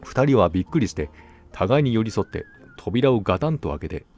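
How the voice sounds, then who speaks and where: A man's voice: lowish voice, brisk, dull audio. A man reading a classic tale as a scripted narration, telling it expressively. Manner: expressive storytelling with acted dialogue. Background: background music.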